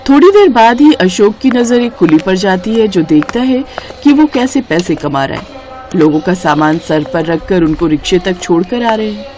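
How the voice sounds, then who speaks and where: A woman narrating a scripted moral story in an expressive storytelling manner. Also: mid-range voice, moderate pace.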